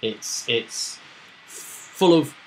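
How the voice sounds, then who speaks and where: A man's voice: medium pitch, animated, drawn-out words, a little hiss or hum, low-quality recording. A man casually recapping a tabletop roleplaying session as game master, with a storyteller's phrasing and tag questions.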